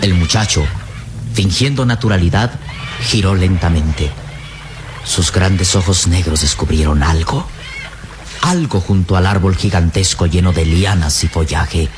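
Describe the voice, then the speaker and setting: A man narrating a radio serial in a deliberate, theatrical and suspenseful scripted style. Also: deep voice, background noise. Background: dramatic background music.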